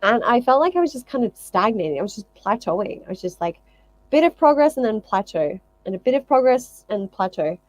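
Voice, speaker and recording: A woman's voice: on the high side, big pitch swings, brisk, a little mellow, dry recording, highs cut off. A woman talking casually to viewers as a fitness vlogger, explaining things emphatically and often repeating phrases in lists.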